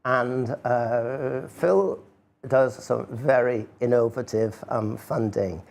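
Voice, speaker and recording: An older man giving an informal, unscripted talk as an artist, halting and rambling, with a self-deprecating manner. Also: medium pitch, vocal fry, a little mellow.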